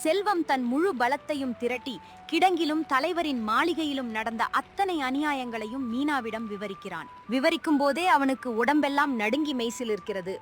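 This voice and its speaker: A woman's voice: high-pitched, animated, moderate pace, soft background music. A woman narrator telling a scripted story in a dramatic style.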